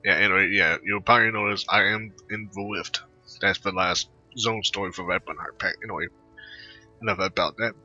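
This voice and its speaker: A man giving casual, rambling gameplay commentary as a video host, speaking in halting phrases. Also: low-pitched, steady tone, bright, forceful tone, soft background music. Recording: muffled home mic with faint noise.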